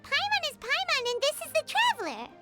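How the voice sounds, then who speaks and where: A woman voicing a tiny, cheerful, childlike fairy companion in a video game, squeaky, bubbly and curious. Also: wildly swooping pitch, fairly quick, bright, forceful tone, faint music behind, exaggerated voice. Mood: excited, chirpy. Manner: bubbly, refers to self in third person.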